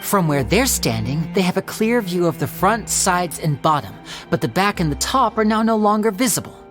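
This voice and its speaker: An actor voicing a suave, theatrical young stage magician in a video game, explaining a trick in a smooth, measured way. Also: male voice, sits high, very animated. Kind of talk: video game cutscene dialogue. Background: soft background game music.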